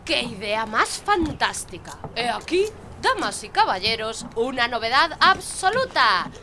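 A woman's voice: fairly high pitch, very animated, measured pace, sharp tone, a little hiss or hum, full character voice. A woman playing a bratty, scornful teenage sister in a radio drama, theatrical and sneering. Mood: mocking, gleeful.

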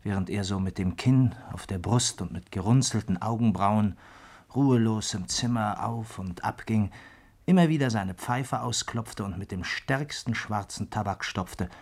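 A man playing a loyal, attentive gentleman companion who narrates and converses politely in a German radio play. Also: lowish voice, lively intonation, moderate pace, slightly rough. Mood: reflective narration.

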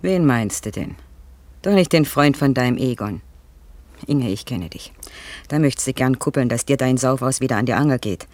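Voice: mid-range voice, lively intonation, moderate pace, darkish tone, dry recording, close to an everyday voice. An actor playing a blunt, scolding parent of a grown daughter in a German radio play, with colloquial, reproachful delivery. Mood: scornful, suspicious.

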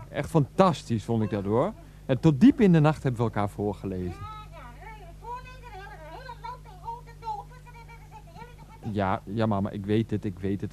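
Man playing exasperated grown son, radio comedy drama, phone conversation, defensive, halting, placating, mid-pitched voice. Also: darkish tone, lightly acted. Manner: halting, placating, repeating himself. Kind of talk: naturalistic 1990s radio comedy drama acting.

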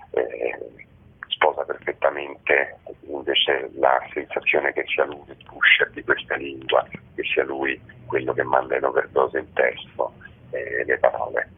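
A man giving halting, measured, reflective answers as a theatre director interviewed over a tinny telephone line. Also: rumbling low, vocal fry, slightly rough. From Rome, Italy. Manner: halting, measured, with pensive pauses. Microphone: phone call.

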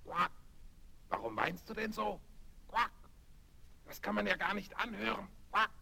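A man voicing an ugly, insistent big green frog with croaks and quacks in a children's audio play. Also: sits high, very animated, measured pace, pushed and bright, full character voice. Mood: nagging. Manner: punctuates speech with quacks, demanding. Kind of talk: 1970s children's radio play acting. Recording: noisy vinyl record transfer.

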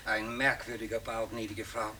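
A bright, forceful male voice, playing an educated architect lodger in a radio play. He is courteous and reserved, choosing his words formally and deliberately. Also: on the low side, level tone, slight background noise. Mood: admiring, reflective. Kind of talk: dramatic radio play acting.

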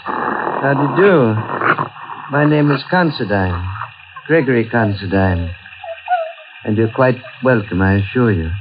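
A man's voice: medium pitch, animated, measured pace, pauses often, natural voice. An actor playing a courteous, sinister blind man, formal and polite, in a 1940s radio thriller. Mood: smooth welcome. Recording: muffled, noisy 1940s radio recording.

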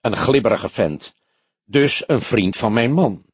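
A man's voice: medium pitch, measured pace, breathy edge, no echo. A man voicing a fierce, offended young woman with a snappish manner in an audiobook. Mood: wary, curt. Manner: snappish, indignant. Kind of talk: audiobook character dialogue.

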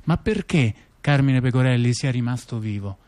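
A man's voice: medium pitch, lively intonation, darkish tone. A man with a mid-range voice tells a satirical story as if chatting across a table. He stumbles, repeats himself and wanders into asides, often checking that the listener follows. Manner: halting, digressive, repeats phrases and checks in with the listener. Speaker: storyteller-performer in a two-person stage dialogue.

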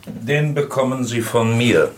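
An actor playing a grave, hesitant man in a radio drama, probing and halting. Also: mid-range voice, lightly acted. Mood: guarded, probing.

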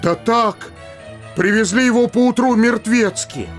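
A man playing an obedient low-ranking policeman who gives short, prompt replies in a comic audio play. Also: unhurried, soft background music. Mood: servile, matter-of-fact. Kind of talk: theatrical comedy audio drama acting.

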